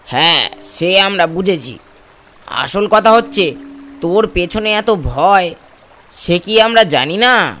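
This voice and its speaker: A man's voice: high voice, lively intonation, slow pace, long pauses, sharp tone, a little hiss or hum, exaggerated voice. A man playing a plain-spoken village man in a cartoon, coaxing and teasing his friends. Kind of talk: cartoon dialogue.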